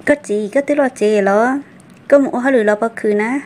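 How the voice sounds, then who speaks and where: A woman's voice: fairly high pitch, moderate pace, a little mellow, lightly acted. A woman voicing a female character in a family drama cartoon, in dramatic dialogue. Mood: conversational.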